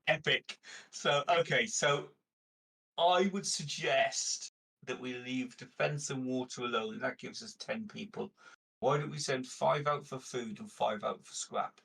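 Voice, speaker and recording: A man with a husky edge to his voice plans aloud as a player in a tabletop game. His talk is casual and conversational, picked up from slightly off the microphone. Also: mid-range voice, a little slow, a bit bright, distant mic. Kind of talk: casual group game chat, planning aloud.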